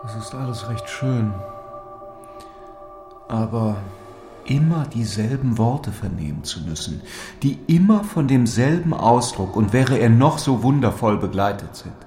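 A man's voice: mid-range voice, expressive, a little slow, warm tone, faint music behind. Man playing a sceptical lord in a roomy echo. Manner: formal, doubting, objecting. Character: sceptical melancholy young gentleman, an English lord. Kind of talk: literary audio drama dialogue. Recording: roomy echo, faint background noise.

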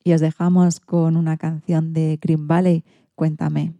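A woman hosts a radio show, reading her script. Her voice sits fairly low and has a soft, mellow color. The sound is close and dry. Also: level tone, measured pace, no room sound, faint music behind. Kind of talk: scripted magazine-show presenting.

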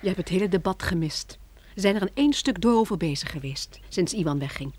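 An actress playing a gentle, wistful farmer's wife in a radio drama, earnest, with a husky voice that is breathy and half-whispered at times. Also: female voice, lowish voice, expressive, quick pace. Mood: earnest, concerned. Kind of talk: radio play acting.

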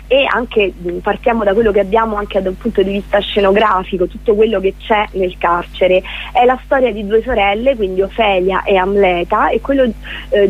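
Over a narrow, tinny telephone connection, a woman speaks as a radio interview guest. Her voice sits at a middling pitch. She explains things earnestly and leans on repeated words for emphasis. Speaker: interview guest, prison theatre project leader. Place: on a phone call to a radio show. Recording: narrow tinny phone line.

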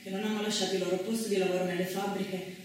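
A woman's voice: mid-range voice, flat delivery, fast, natural voice. A woman playing a declamatory announcer of historical events, narrating emphatically in a theatre piece. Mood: solemn. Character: female announcer of historical dates and laws. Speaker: stage narrator. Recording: echoey stage, distant mic, background noise.

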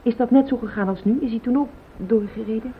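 An actress playing an anxious, fiercely loyal wife, formal and insistent, in a radio play. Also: female voice, fast, close to an everyday voice. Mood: shocked, subdued. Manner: formal, insistent, easily indignant. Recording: tinny old radio recording, hiss, rumble.

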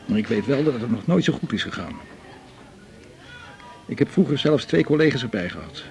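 A man's voice: medium pitch, big pitch swings, fairly quick, a little mellow, soft background music. A man with a Dutch accent from the Netherlands playing a cheerful, confiding doctor chatting with a colleague in a radio drama. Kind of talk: naturalistic radio drama dialogue.

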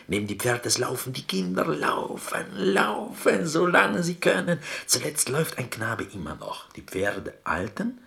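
An actor playing an earnest, excitable Greek prisoner in a radio drama, telling stories insistently in halting, broken speech with a Greek accent. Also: male voice, medium pitch, vocal fry, full character voice. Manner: halting broken German, insistent, half-whispered storytelling.

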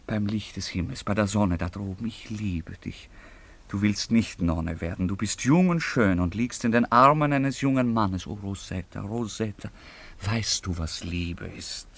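A man's voice: fairly low pitch, gravelly. An actor playing a passionate young baron in a radio drama, declaiming his wooing in poetic, theatrical tones. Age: young adult.

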